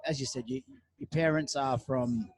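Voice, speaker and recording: Husky male podcast host chatting. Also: fairly high pitch, sing-song, moderate pace, no room sound. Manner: rambling, lots of fillers and restarts. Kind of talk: casual interview chat. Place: cafe.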